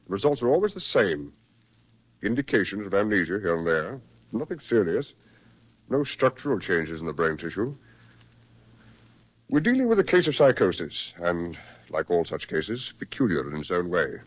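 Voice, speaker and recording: A man acting a brisk, persuasive military psychiatrist with genteel, insistent delivery in a 1940s radio drama. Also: low voice, big pitch swings, slightly rough, full character voice. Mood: grave, weary concern. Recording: muffled 1940s radio recording.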